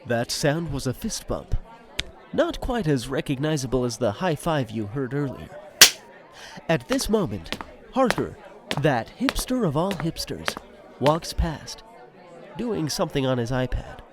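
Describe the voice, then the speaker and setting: A man's voice: medium pitch, sing-song, unhurried, a loud crowd, heavy background noise. A man giving wry, dramatic scripted narration with storytelling asides to the listener.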